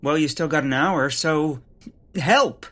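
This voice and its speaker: An actor playing an excitable, indignant male scientist turned amateur sleuth, flustered and literal-minded, in a comic audio drama. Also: a little high, slow. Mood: insistent.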